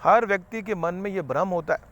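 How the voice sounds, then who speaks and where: Guy with a high voice rambling thru a spiritual talk, repeats himself a lot. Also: brisk, fairly bright tone. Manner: rambling, repetitive, mixes English words. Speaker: spiritual speaker. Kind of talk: unscripted motivational talk.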